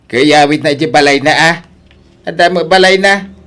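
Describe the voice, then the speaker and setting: A man's voice: a little high, moderate pace, bright, forceful tone. A man playing a blunt, argumentative older uncle in an Ilocano audio drama, with a Filipino accent. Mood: disapproving. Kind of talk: radio drama acting.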